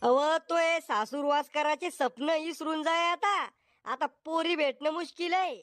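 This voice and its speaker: An exasperated middle-aged cartoon father, played by a man, scolding and sarcastic. His voice sits unusually high and sounds dry and close. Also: measured pace, a bit bright, no echo. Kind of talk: Marathi comedy cartoon acting.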